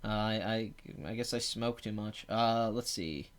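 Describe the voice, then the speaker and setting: Raspy, phlegmy man rambling about box office. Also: on the low side, a little slow. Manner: rambling, blunt, sarcastic asides. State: clogged throat. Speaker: box office show host. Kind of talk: casual live commentary.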